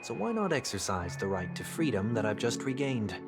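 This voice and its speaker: A man's voice: lowish voice, sing-song, brisk. An actor playing a courteous, solemn and principled young man, speaking formally and eloquently in a video game. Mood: calm resolve. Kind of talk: scripted video game voice acting. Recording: loud music behind the voice.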